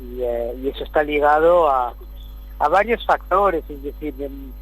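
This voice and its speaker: A middle-aged man from Spain speaks as a guest in a political interview. His voice sits a little high, and he lays out his points carefully, circling back with repeated words as he thinks. A faint hum runs under the line. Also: a bit bright, poor audio. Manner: measured, explanatory, repeats words while searching. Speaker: guest, city councillor. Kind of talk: political interview over the phone.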